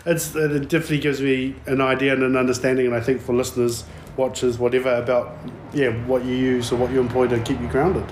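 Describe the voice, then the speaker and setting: A man's voice: medium pitch, fast, hardly any pauses, reverberant, a little hiss or hum. Easygoing New man interviewing. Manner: relaxed, uses slang. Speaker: host. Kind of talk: casual interview.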